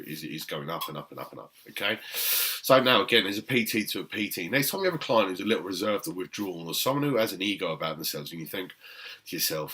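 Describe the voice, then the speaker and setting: A man from Britain speaks in a very deep, low voice with a forceful, bright edge. He lectures fellow trainers emphatically, pausing on "um" and checking in with "okay?". It sounds like an unscripted talk to camera. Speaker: personal trainer.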